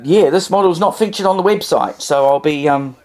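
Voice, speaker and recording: A man's voice: a little high, fairly quick, a little mellow. A man giving a casual, rambling, hesitant demonstration as a hobbyist presenter. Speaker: vintage radio hobbyist presenter.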